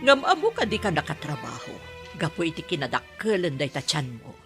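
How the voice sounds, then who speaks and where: Woman acting middle-aged landlady, husky, lowish, sympathetic, wistful, music underneath, audio drama. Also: huge pitch swings, a little fast, exaggerated voice. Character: motherly landlady, sympathetic, wistful, longing for a child. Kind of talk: Ilocano radio-style comedy drama acting.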